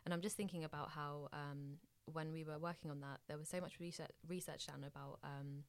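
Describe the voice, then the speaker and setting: Host, woman from Bangladesh; very low pitch, hesitant casual phrasing. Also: fairly even intonation, brisk. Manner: hesitant, frequent "like" and "um". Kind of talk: casual conversation.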